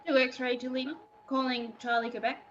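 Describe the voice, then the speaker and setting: Lady doing a worried radio operator in an old radio play, high voice, dull lo-fi sound w faint noise. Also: little pitch movement, moderate pace, a bit bright. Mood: searching. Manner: repetitive call-sign phrases. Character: anxious radio operator calling out for contact. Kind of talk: 1930s radio play acting.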